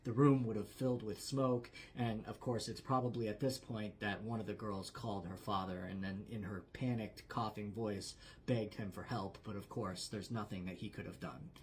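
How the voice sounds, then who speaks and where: A man's voice: fairly low pitch, steady tone, normal speed, few pauses, slight background noise. A man narrating a true story like a campfire storyteller, in a dramatic yet conversational way with emphatic phrasing. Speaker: storyteller, host.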